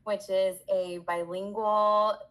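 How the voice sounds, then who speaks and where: A woman's voice: medium pitch, flat delivery, slow pace, a bit bright. A bilingual Spanish and English speaking woman who grew up in Puerto Rico, answering conversationally as an interview guest, explaining things in a talkative, emphatic way. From born in the US, raised in Puerto Rico.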